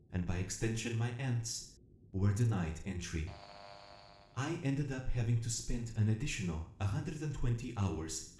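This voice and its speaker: A man plays a damaged robot narrating its own audio drama, formal and precise in a soft, mellow tone. The phrasing is measured and clinical, yet the pitch moves expressively. The voice rings in a roomy space with music behind it. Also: a little hiss or hum. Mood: calm, methodical. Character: damaged military robot awakening, logical, methodical, quietly curious.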